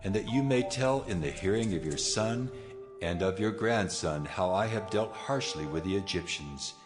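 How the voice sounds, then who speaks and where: Almighty deity: very low voice, grave, authoritative, music behind. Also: male voice, expressive, normal speed. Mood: solemn, commanding. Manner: grave, commanding. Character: almighty God, solemn, commanding, unhurried authority. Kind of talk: dramatized scripture audio acting.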